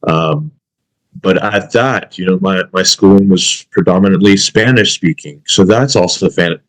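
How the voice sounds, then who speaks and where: A man's voice: deep voice, unhurried, poor audio. A man in his thirties from the United States whose first language is Arabic, talking reflectively as a podcast guest with frequent fillers. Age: 30s. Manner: reflective, many fillers and restarts. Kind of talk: casual interview chat.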